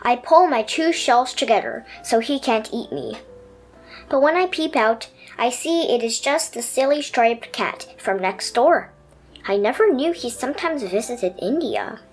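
A woman voicing a dreamy, adventurous little pet turtle in an expressive storybook telling. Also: fairly high pitch, a little slow, soft background music. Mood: dreamy, wondering.

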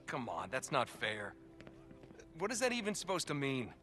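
Game hero: American treasure hunter, stubborn, expressive mid-range voice, casual, evasive. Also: male voice, mid-range voice, moderate pace, music in the background. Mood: defensive. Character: stubborn, wisecracking American male treasure hunter in his thirties.

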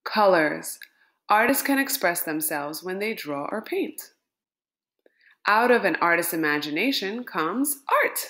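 A woman reading a children's picture book aloud, lifting her voice on each item in a list. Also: fairly low pitch. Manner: slow, lilting and expressive, lifting words in lists. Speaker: storybook reader.

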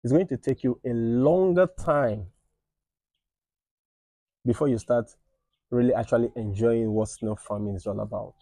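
A man's voice: medium pitch, a little slow, long pauses, warm tone, dry recording, studio quality. A man in his thirties giving earnest, informal farming advice to a phone camera under an outdoor shed, often checking in with "right?". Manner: earnest, repeats points with "right?" and "all right". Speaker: snail farmer and channel host. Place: outdoor shed with tin roof at a snail farm. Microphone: phone camera at arm's length.

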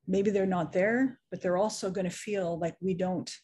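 A woman's voice: lowish voice, steady tone, moderate pace, warm tone, breathy edge. A middle-aged woman on a video call from home, telling a story in a reflective way with frequent restarts. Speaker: guest speaker. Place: home room, indoors. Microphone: video call webcam.

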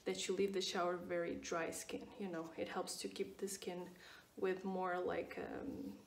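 A woman's voice: lowish voice, flat delivery, measured pace, recorded from a distance. A woman of twenty-nine from Portugal, speaking English with a Portuguese accent, chats casually about her skincare routine, repeating words and using lots of fillers. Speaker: skincare vlogger. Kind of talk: casual product review. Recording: echoey room, voice off-mic.

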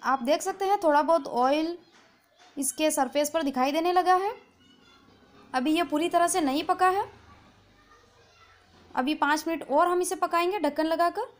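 A woman hosting a cooking channel, giving a calm, patient, step-by-step recipe voice-over. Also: very high voice, measured pace, long pauses. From India.

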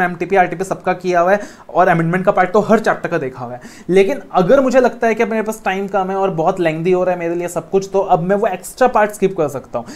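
A man in his thirties with an Indian accent, giving a recorded classroom lecture on exam law. He talks in a coaxing, motivational way and mixes in English phrases. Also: sits high. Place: indoor studio room with a whiteboard screen.

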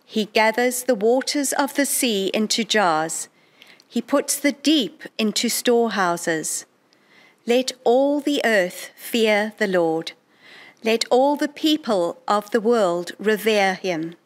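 Prayer leader: middle-aged woman, slightly high voice, slow and solemn scripture reading at a lectern. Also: lively intonation. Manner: measured, solemn phrasing. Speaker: prayer leader in church service. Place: indoors in a chapel, at a lectern. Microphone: gooseneck lectern microphone.

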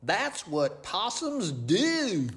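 Skittish possum, picture-book character, male reader acting: theatrical, high, stretched-out phrases. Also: wildly swooping pitch, extremely slow, fairly bright tone, exaggerated voice. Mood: shaken accusing. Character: skittish, dramatic possum, shaken and accusing. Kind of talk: children's storybook character voice.

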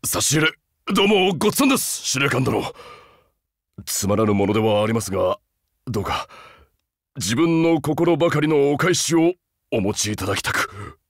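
Loyal beast-man soldier, wildly swinging pitch. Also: very animated, moderate pace, no room sound, clean studio sound. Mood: humble, grateful. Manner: stiff archaic military formality, earnest. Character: huge loyal beast-man soldier, humble, haunted, sumo-loving. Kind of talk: Japanese game character voice acting.

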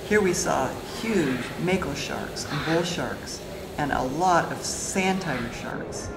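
A woman narrating a travel vlog in a calm, admiring scripted voice-over. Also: deep voice, takes it slowly, heavy background noise. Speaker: travel vlogger. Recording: roomy voice-over under loud music.

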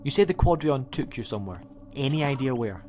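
An actor playing an eccentric, flippant time-travelling alien adventurer in an audio drama. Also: male voice, mid-range voice, lively intonation, fairly quick, darkish tone, slight background noise, lightly acted. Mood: breezy. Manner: breezy, reassuring, flippant.

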